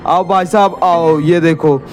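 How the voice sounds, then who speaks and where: A man voicing an eerie old ghost shopkeeper in a cartoon horror comedy, drawing out his slow sales patter. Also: fairly high pitch, steady tone, darkish tone, a little hiss or hum, voice pushed up, natural voice, rough recording. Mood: coaxing salesman. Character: eerie old male ghost shopkeeper peddling air conditioners.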